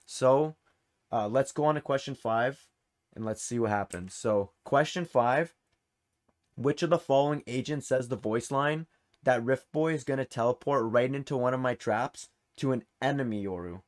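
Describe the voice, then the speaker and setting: A man's voice: medium pitch, normal speed. A man hosting a quiz in a casual, informal and encouraging way. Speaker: quiz host. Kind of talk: casual game-show hosting.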